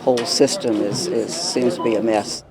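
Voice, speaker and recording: A man's voice: medium pitch, fairly even intonation, normal speed, gravelly, a loud crowd. A man giving unscripted answers as a shopper in a news interview.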